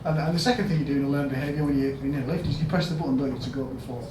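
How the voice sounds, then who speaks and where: A man's voice: mid-range voice, fairly even intonation, rapid-fire. Yorkshire man speaking in an echoey hall. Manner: conversational, asks the audience questions, rising to loud emphatic bursts. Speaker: NHS chief executive giving a conference talk. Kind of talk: storytelling keynote speech. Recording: echoey hall, mic at a distance, background noise.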